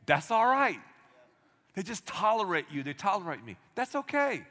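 A man, preaching a sermon in an energetic, conversational way with asides to the congregation. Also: high voice, normal speed. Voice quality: loud voice. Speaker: pastor. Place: large church auditorium. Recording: long hall reverb.

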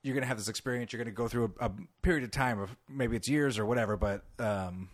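A man's voice: mid-range voice, fast talker, no room sound, studio quality. A man from California with a light Californian accent, a podcast host asking questions in a rambling, casual way. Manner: rambling, many fillers and restarts. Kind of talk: casual conversation.

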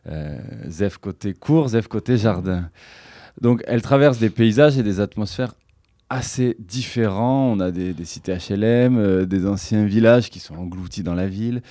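A man interviewing a guest, asking long, measured questions. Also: on the low side, dark tone, dry recording, clean studio sound.

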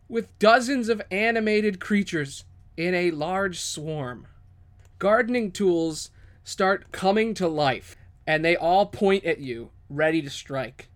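High-voiced man narrating as game master. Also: fairly bright tone. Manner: dramatic, descriptive storytelling. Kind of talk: improvised tabletop game narration.

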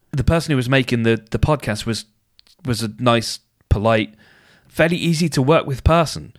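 A hoarse man hosting a casual solo podcast, thinking aloud and often restarting his sentences, talking close to the mic. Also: mid-range voice, no room sound. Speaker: podcast host.

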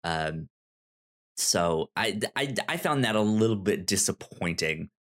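A man co-hosting a podcast, giving a casual review in a hesitant, halting way, repeating words as he searches for phrasing. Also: deep voice, lively intonation. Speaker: podcast co-host. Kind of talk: casual conversational book review.

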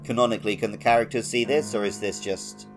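A man's voice: lowish voice, steady tone, quick pace, music in the background. A man co-hosting a podcast, talking casually and conversationally about a video game. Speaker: podcast co-host.

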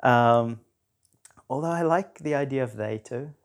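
A man in his forties from South Africa with a South African accent, chatting casually as a podcast guest with a relaxed, rambling manner full of fillers. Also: medium pitch, moderate pace. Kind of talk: casual conversation.

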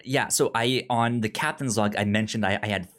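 A man co-hosting a podcast in casual chat, speaking in an informal, halting way. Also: lowish voice, fairly bright tone. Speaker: podcast co-host.

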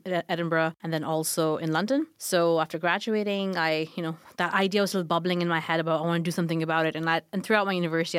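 A woman in her thirties from Pakistan, a nonprofit founder answering interview questions in a relaxed way with plenty of fillers. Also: low-pitched, clear, resonant tone, no echo, studio quality. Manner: conversational, many fillers.

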